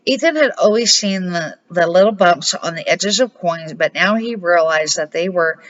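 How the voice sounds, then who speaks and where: A woman's voice: lowish voice, normal speed, fairly bright tone. Older woman reading a storybook, dull sound. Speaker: grandmother reading books aloud for children. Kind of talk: storybook read-aloud.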